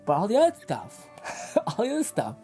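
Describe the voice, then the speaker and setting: A man's voice: sits high, wildly swooping pitch, brisk, mellow, soft background music. A young man hosting a livestream in rambling casual chat, reading chat aloud and laughing, his voice going from a dry throat. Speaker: livestream host.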